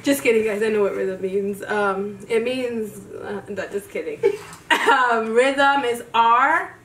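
A woman spelling words aloud among friends, laughing and joking as she slowly works through the letters. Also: fairly high pitch, a bit bright. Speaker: spelling challenge contestant. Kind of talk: casual chat among friends. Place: small room with a couch. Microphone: handheld mic, far camera. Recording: roomy echo, distant mic, faint noise.

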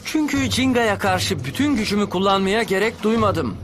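High-pitched, cocky anime teenage boy. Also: moderate pace. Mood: calm, provoking. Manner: calm, self-assured, taunting. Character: cool, confident teenage boy blader, unflappable challenger. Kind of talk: dubbed anime acting. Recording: loud music behind the voice.

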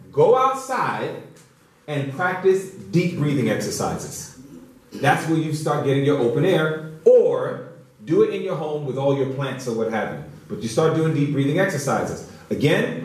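A man's voice: on the high side, very animated, measured pace, far from the mic. A middle-aged man giving an emphatic, instructive public health lecture, repeating key phrases and posing rhetorical questions. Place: indoor church hall, speaking to an audience. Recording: very echoey hall, picked up from afar.

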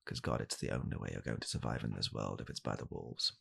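A young British man in a hushed, halting, deadpan comedic ASMR roleplay, playing a bitter, weary father coldly blaming his child. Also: very deep voice, vocal fry, breathy edge. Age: young adult. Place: small home room, indoors.

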